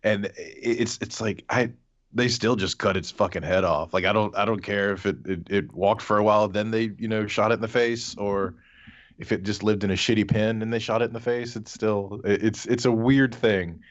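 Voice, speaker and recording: A man's voice: on the low side, steady tone, moderate pace, muffled recording. A man chatting casually as a podcast panelist, rambling and wry, with some swearing. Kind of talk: casual chat.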